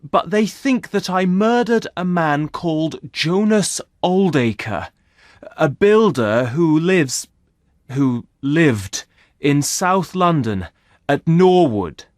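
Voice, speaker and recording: A man's voice: a little high, expressive, takes it slowly, dry recording, clean studio sound, voice pushed up. A man voicing a frightened young man who pleads desperately for help in a storybook reading. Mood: frightened pleading. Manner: trembling, pleading.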